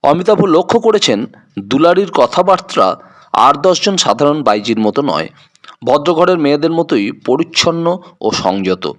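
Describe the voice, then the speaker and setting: A man's voice: fairly high pitch, big pitch swings, measured pace. A man narrating a scripted detective audio story in a dramatic storytelling style.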